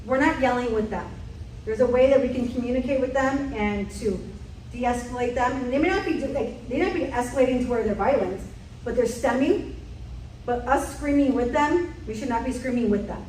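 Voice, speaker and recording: Woman trainer, fairly high voice, direct quiz style, mic far off in an echoey meeting room. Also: normal speed, darkish tone, slight background noise. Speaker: safety trainer. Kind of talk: leading a staff safety quiz.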